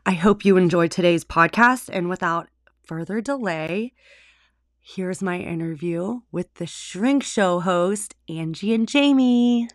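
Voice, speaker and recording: A woman's voice: fairly low pitch, unhurried, dry recording, clean studio sound. Upbeat woman introducing her podcast. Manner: upbeat, direct address to listeners. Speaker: podcast host.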